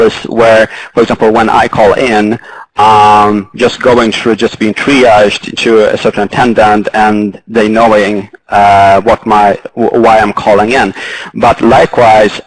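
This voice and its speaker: A man with a Swedish accent speaking as a panelist, halting and full of fillers and restarts. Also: on the low side, a little hiss or hum, rough recording. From Sweden. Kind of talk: panel discussion.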